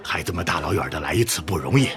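A man's voice: sits low, lively intonation, fast, vocal fry, a bit bright, soft background music, heavily acted. A man playing a kindly, generous old restaurant cook in a radio drama, effusive and hospitable. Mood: hearty, welcoming. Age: older.

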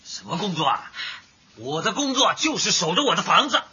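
A man playing a gruff, stubborn, hot-tempered husband in a Chinese radio drama, blunt and grumbling. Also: high-pitched, sing-song, brisk, bright, forceful tone, exaggerated voice. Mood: angry, defiant. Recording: muffled radio drama with faint music.

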